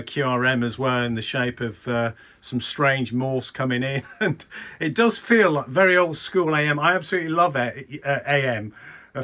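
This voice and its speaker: A man with an English accent and a medium-pitched voice talks as a radio amateur. His delivery is conversational and keen, like one hobbyist chatting to another over the air. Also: pushed and bright. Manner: chatty, enthusiastic. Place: small indoor radio room.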